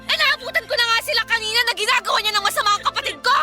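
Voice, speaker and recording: An actress playing an angry young woman, a fiercely protective elder sister, loud and scolding in a Filipino radio drama. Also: extremely high pitch, racing, music in the background. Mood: furious.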